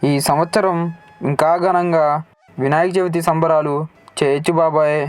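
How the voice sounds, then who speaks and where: A man playing an excited, obstinate young village lad in a cartoon story, pleading and insistent. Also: a little high, moderate pace, smooth, no echo, faint music behind, natural voice. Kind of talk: Telugu cartoon story dialogue.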